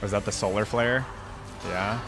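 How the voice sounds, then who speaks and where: A man's voice: on the low side, fairly even intonation, normal speed. A man hosting a reaction video with casual, informal commentary, rambling as he thinks aloud. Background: game music playing.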